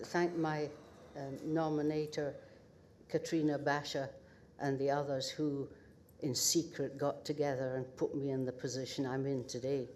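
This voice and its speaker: An older woman with a northeast Scottish accent gives a halting acceptance speech full of pauses and wry humour at an awards ceremony. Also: rumbling low, little pitch movement, a little mellow, slight background noise. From northeast Scotland. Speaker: award recipient. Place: ceremony hall at a podium.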